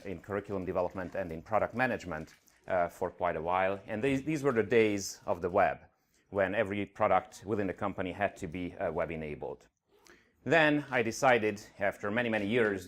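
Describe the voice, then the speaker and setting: Hungarian-accented man giving a conference talk. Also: lowish voice. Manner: earnest, with frequent uh fillers. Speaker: conference speaker. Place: conference venue stage.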